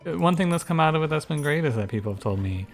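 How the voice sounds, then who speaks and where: A man with a soft, soothing voice giving relaxed, thinking-aloud commentary over a strategy game. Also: fast, soft background music. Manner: low-key, musing, thinks aloud with frequent restarts. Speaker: gaming YouTuber and streamer. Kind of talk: casual gameplay commentary.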